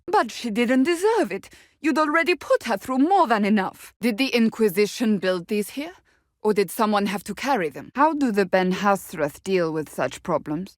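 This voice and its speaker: A woman playing a stern, devout warrior in a fantasy video game, forthright and formal in her measured, earnest speech. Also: mid-range voice, dry recording, studio quality, close to an everyday voice. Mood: indignant.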